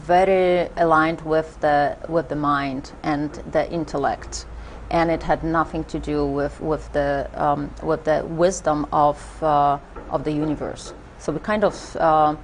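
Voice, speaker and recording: Low-voiced woman with a Polish accent. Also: slight background noise. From Poland. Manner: hesitant, many fillers and restarts. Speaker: guest, spiritual teacher. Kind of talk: interview conversation.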